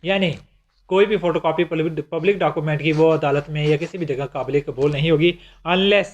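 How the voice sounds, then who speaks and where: A man's voice: on the high side, a little fast, muffled recording. A man with a Pakistani accent explaining legal material like a lecturer, in a didactic way, restating his points. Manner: didactic, repeats and rephrases points. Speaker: law lecturer.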